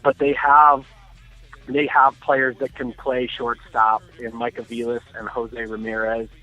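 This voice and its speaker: Heard through a thin, phone-like connection with no room sound, a man talks sports. His voice sits at an average pitch, and he analyses casually, restarting phrases as he goes. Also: little pitch movement, measured pace, no room sound. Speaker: sports talk commentator. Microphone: phone call. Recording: thin, tinny phone line.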